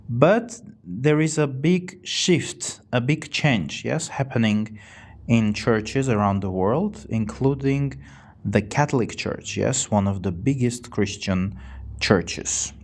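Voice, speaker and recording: Armenian-accented man teaching English on a live stream. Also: medium pitch. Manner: slow, repeats and rephrases words for learners. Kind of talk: casual live-stream English lesson reading chat.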